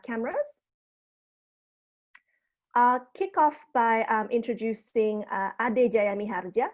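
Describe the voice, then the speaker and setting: Woman, early 30s, native Indonesian speaker, higher voice, orderly webinar host on a video call. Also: darkish tone. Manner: measured and orderly, slowing down for access descriptions. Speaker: host and program producer. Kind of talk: hosting a webinar, reading housekeeping notes.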